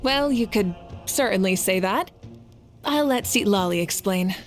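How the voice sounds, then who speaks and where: A woman playing a confident, warm-hearted warrior leader in a video game, with an assured and reassuring manner. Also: medium pitch, animated, unhurried, lightly acted. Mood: reassuring, amused. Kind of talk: video game character acting. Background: background music.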